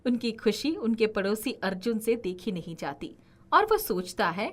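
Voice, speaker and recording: A woman's voice: mid-range voice, big pitch swings, measured pace. A woman narrating a scripted children's story as the narrator.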